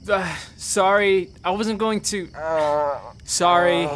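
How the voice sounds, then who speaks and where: An actor playing a wounded, bitter young man in an audio drama, speaking bluntly and haltingly. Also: high voice, steady tone, fairly bright tone. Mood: awkward, halting. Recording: faint background noise.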